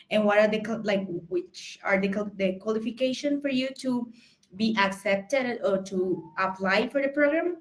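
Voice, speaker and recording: Colombian-accented woman speaker, Spanish native, young adult; mid-pitched, halting delivery full of fillers and restarts. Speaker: conference speaker. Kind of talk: informal tech talk.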